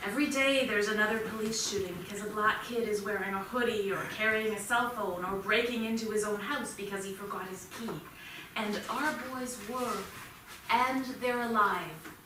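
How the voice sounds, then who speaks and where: A woman's voice: medium pitch, normal speed, natural voice. Hesitant middle-aged mom with a conscience female stage actor, distant and reverberant, with background noise. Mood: troubled. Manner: hesitant, conciliatory. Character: conscience-troubled, hesitant mother of an accused boy. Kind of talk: live staged play reading, naturalistic. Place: indoor theatre stage, recorded from a distance. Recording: very echoey, distant theatre recording with noise.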